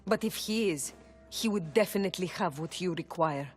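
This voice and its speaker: An actress playing a frightened oracle in a video game, urgent and pleading. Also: female voice, on the low side, moderate pace, soft background music, natural voice. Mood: confiding. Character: Pythia, frightened woman oracle under threat.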